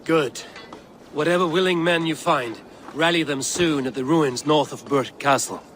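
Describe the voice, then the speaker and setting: A man voicing a resolute Viking warrior leader in a game cutscene, speaking in a firm, measured, commanding way. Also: on the high side, a bit bright, a little hiss or hum, close to an everyday voice. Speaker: game protagonist. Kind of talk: video game cutscene acting. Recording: game audio under a music score.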